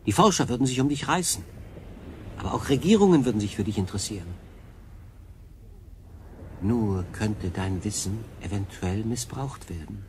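A man's voice: lowish voice, animated, normal speed, pauses often, darkish tone, a little hiss or hum, natural voice. An actor playing a stranded solo sailor in a radio drama, a curious man who speaks in a questioning, reflective way. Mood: curious, pondering.

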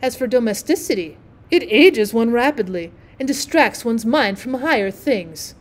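A woman's voice: fairly high pitch, animated, moderate pace, lightly acted. A woman voicing a vain male firework rocket in a storybook, with a haughty, boastful, declamatory manner. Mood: haughty, disdainful.

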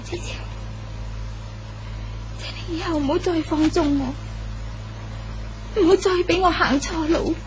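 A noisy old radio drama recording carries a sorrowful young romantic heroine. Her voice is very high, with a Hong Kong Cantonese accent. She speaks reflectively and with measured drama. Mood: wistful. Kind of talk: 1970s radio drama acting.

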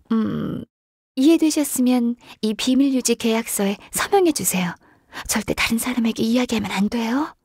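A woman playing a gentle, shy, earnest half-qilin secretary in a video game, speaking softly and politely with some hesitation. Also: a little high, no echo, studio quality. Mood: shy, flustered. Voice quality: soft, breathy. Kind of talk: video game character voice lines.

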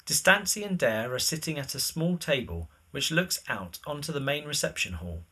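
A man's voice: on the high side, very animated, moderate pace, bright, forceful tone, slightly rough. A man narrating scripted stage directions for a drama.

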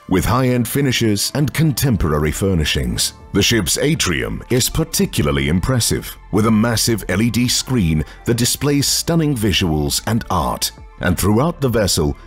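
A man narrating a scripted voice-over in a polished, even delivery. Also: fairly low pitch, slightly rough. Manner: polished voice-over with lively, rising and falling delivery.

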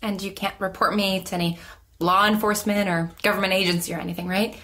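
An anxious young woman, played by an actress in a naturalistic audio drama. She rambles, hesitates and trips over her words in a self-conscious way, in a medium-pitched voice. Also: steady tone, quick pace, pushed and bright, lightly acted. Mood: anxious confiding. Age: young adult. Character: nervous, rambling young woman with secret ability. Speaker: therapy patient character.

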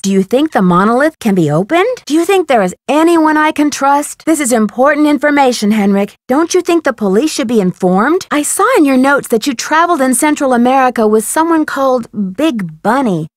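A woman playing a friendly, earnest, inquisitive young female detective in an adventure video game, coaxing and questioning with clear diction. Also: sits high, very animated, moderate pace, few pauses, no echo, studio quality. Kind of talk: scripted adventure game dialogue.

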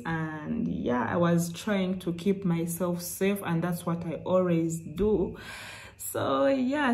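A woman's voice: on the low side, darkish tone. A young woman telling a story to her vlog camera in a small indoor room, chatty and acting out the phone calls she describes. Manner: chatty, retells calls with quoted voices. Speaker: vlogger. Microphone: phone camera at arm's length.

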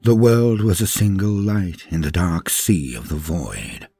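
A man narrating a scripted story in a dramatic, grave, measured manner with pauses for effect, his voice husky. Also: low voice, big pitch swings, warm tone, no room sound. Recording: music behind the voice. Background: background music.

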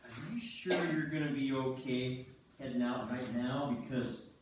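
A man's voice: mid-range voice, measured pace, natural voice. A man playing a grumbling, cautious ice-fishing husband in an amateur stage reading. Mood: concerned. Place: church sanctuary, live stage reading. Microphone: distant audience camera. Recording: noisy echoey church hall from far off.

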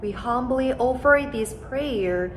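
A young woman, a native Korean speaker, leading prayer as an associate pastor in a church sanctuary, speaking slowly and solemnly from a pulpit. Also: fairly high pitch, a little hiss or hum. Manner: slow, solemn and measured.

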